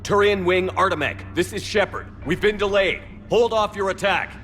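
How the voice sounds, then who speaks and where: An actor playing a resolute human military commander in a video game, direct and businesslike. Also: male voice, sits high, normal speed, fairly bright tone, faint music behind. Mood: urgent, firm.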